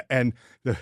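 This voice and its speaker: A man's voice: dry recording, clean studio sound. A man co-hosting a casual sports podcast, chatting informally and jokingly, often stammering and repeating his words. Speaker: podcast co-host.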